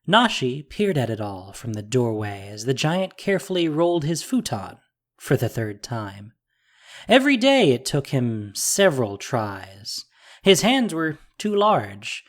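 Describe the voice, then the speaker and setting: Slow, expressive fantasy story narrator. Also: mid-range voice. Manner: measured, dramatic, descriptive.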